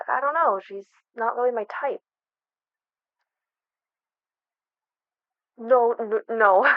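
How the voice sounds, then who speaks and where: A woman acting out a flustered young best friend with a secret crush, in a fairly high voice. She talks casually and haltingly in an intimate audio roleplay, recorded dry and close with a slightly muffled sound. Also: no echo. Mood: flustered, dismissive. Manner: hesitant, stammering, casual and teasing. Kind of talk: ASMR roleplay, one-sided conversational acting.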